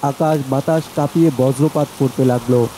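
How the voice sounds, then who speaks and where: A man's voice: mid-range voice, fairly even intonation, brisk, warm tone, slight background noise, poor audio. A man narrating a scripted horror story as its storyteller.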